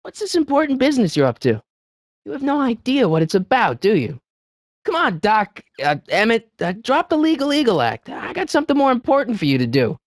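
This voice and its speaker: A man's voice: very high-pitched, huge pitch swings, brisk, no room sound, studio quality, full character voice. A man playing an earnest, wisecracking American teenage boy in a video game, with a youthful voice and a casual, slightly hesitant, eager manner. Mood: coaxing.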